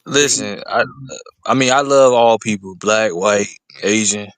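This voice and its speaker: A man on a casual livestream panel who speaks in a measured, conciliatory way. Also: on the low side, fairly bright tone, clear, resonant tone, dry recording.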